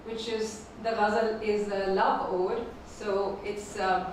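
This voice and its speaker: A woman whose first language is Urdu, reading her poems slowly and repeating each first line at a live poetry event. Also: mid-range voice, little pitch movement, a little hiss or hum. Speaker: featured poet. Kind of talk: poetry reading with spoken introductions. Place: performing arts venue. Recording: echoey hall from far off.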